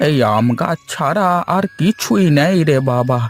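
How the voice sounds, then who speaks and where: A man voicing a weary, kindly old beggar in a cartoon fairy tale, gentle and slow, with music underneath. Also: on the high side, lively intonation.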